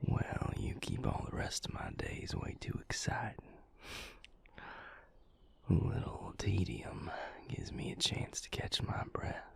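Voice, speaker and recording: A man playing an affectionate, teasing male vampire lover in an intimate romantic audio roleplay, with a husky, breathy, flirtatious drawl. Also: low-pitched, wildly swooping pitch, takes it slowly, fairly bright tone, heavily acted. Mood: teasing, relaxed. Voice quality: gravelly, husky, breathy near-whisper.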